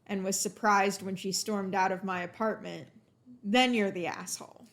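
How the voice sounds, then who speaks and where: A woman's voice: mid-range voice, steady tone, normal speed, fairly bright tone. A woman guesting on a casual podcast, talking emphatically and laughing easily.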